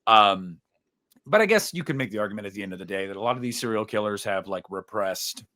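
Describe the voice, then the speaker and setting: A man co-hosting a podcast, reasoning through his opinions in a casual, measured way and sometimes voicing hypothetical quotes. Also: fairly low pitch, lively intonation, bright, forceful tone. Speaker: podcast co-host.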